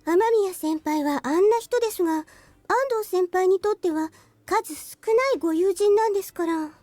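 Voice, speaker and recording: A woman's voice: very high voice, fast talker, mellow, no echo. A woman voicing a cute, polite, teasing teenage schoolgirl in a Japanese visual novel. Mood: prim, chiding.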